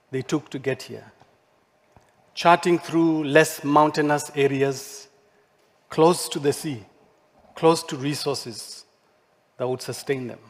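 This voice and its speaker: A man who speaks English as his second language, giving a measured, poetic keynote lecture with deliberate rhetorical questions. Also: fairly high pitch. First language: not English. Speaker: architect giving a keynote talk. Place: large hall at a forum. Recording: long hall reverb, stage mic.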